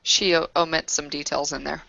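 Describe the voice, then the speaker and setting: A woman with a lowish voice improvises dialogue in a tabletop roleplaying game. Her phrasing is halting and hesitant. The recording is dry and slightly dull. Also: level tone, creaky, sharp tone, dry recording.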